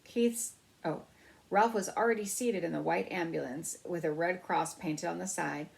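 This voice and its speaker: A woman's voice: fairly low pitch, normal speed, vocal fry. A woman storyteller reading a children's novel aloud.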